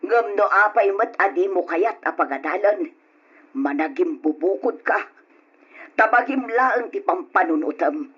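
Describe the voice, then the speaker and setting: A woman's voice: mid-range voice, lively intonation, a little slow, fairly bright tone, breathy edge, heavily acted. A woman playing a protective middle-aged mother in a radio drama, earnestly reproving her husband. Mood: reproachful. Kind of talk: Ilocano radio drama acting. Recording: dull lo-fi old radio recording.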